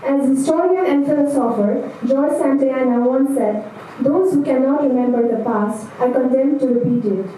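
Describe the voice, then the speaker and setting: A woman's voice: high-pitched, normal speed, mellow, a little hiss or hum. A woman emcee introducing a chief guest at a formal conference in an announcing tone. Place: large conference hall. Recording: echoey hall, voice from far off.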